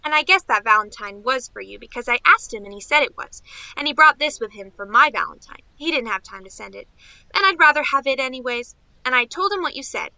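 A woman's voice: high-pitched, animated, a little fast, gravelly, bright, forceful tone, no echo, full character voice, rough recording. A woman playing a guileless, chatty young niece in a radio play, matter-of-fact and childlike. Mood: eager, guileless.

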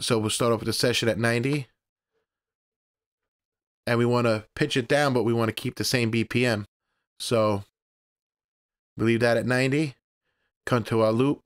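A man presenting a casual step-by-step software tutorial in a plainspoken, informal, instructional way. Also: mid-range voice, smooth, dry recording, studio quality.

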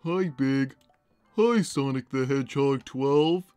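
A man voicing a huge, gentle, simple-minded cat who talks plainly and innocently on a gaming livestream. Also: medium pitch, clear, resonant tone, no echo, soft background music, natural voice. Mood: placid. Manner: plain, slow, naive, reads chat messages aloud. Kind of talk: in-character gaming livestream chat.

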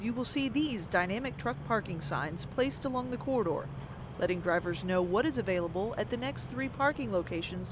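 A woman reading a scripted voice-over for a public information film in a measured, informative way. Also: medium pitch, background noise. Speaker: narrator.

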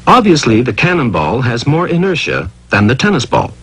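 A man delivers scripted educational cartoon narration with theatrical emphasis. His pitch swings widely from phrase to phrase, and his voice has a husky edge. He poses rhetorical questions as he explains. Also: huge pitch swings, normal speed, fairly bright tone.

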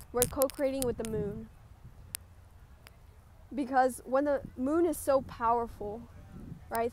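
A woman leading a group guided meditation, giving gentle, instructive cues. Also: on the high side. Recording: clear background noise, ambient sound.

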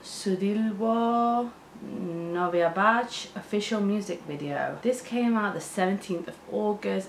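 A woman's voice: medium pitch, slight background noise. Chatty woman in a roomy room. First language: Spanish. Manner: chatty, stumbles over hard words, gestures a lot. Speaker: reaction channel host. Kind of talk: casual reaction chat. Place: living room with sofa and plants, indoors.

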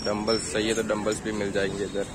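A man's voice: lowish voice, completely flat delivery, quick pace. A young man from India vlogging casually as he walks around an outdoor market, asking sellers about prices and haggling as he goes. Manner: chatty, haggling with sellers while walking. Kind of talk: casual walking market vlog. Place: busy outdoor street market. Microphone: handheld phone camera. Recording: noisy outdoor street recording. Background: crowd chatter and passing traffic.

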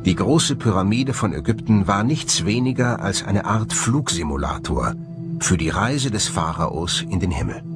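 A man presenting a documentary in scripted narration, with measured, rhetorical, emphatic phrasing. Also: on the low side, animated, loud music behind the voice.

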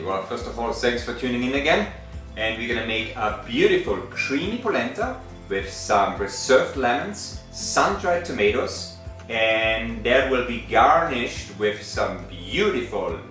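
Upbeat chef from Austria, roomy kitchen. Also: male voice, fairly low pitch, a bit bright. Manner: upbeat, explains steps while cooking. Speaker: chef and co-host. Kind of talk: casual cooking show presenting. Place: large home kitchen, indoors. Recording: roomy, distant sound with light music.

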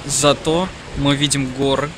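Молодой мужчина снимает поездку и с юмором комментирует происходящее за кадром. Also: male voice, medium pitch, level tone, a little slow, over loud music. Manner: wry, joking remarks to camera. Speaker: travel vlogger filming the trip. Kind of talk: casual handheld travel commentary. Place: on a moving tour bus and rainy city streets. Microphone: handheld camera mic. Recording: noisy handheld camera audio. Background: loud traffic and bus noise.

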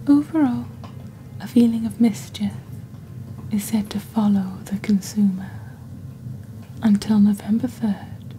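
A woman playing a calm, mysterious witch presenting a shopping channel in soft, breathy, near-whispered ASMR, with slow and deliberate phrasing, close to the microphone. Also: medium pitch, a little hiss or hum, close to an everyday voice, poor audio. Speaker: host of an ASMR roleplay channel. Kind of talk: soft-spoken ASMR scripted product presentation. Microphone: close desk microphone.

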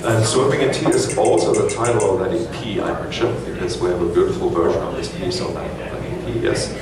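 A man's voice: deep voice, a little fast, background noise. A man from Germany with a German accent, talking to a concert audience between songs in a rambling, self-mocking way with many restarts. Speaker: musician addressing a concert audience. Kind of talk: casual stage banter between songs. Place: live music club, on stage. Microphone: stage vocal mic through the venue PA. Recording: distant, echoey club recording with crowd.

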